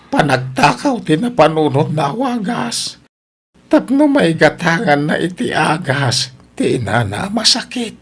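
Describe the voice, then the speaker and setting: Casting: male actor as gentle old father in radio drama, husky, widely swinging pitch. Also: very animated, unhurried, full character voice. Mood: grave. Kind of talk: Ilocano audio drama acting.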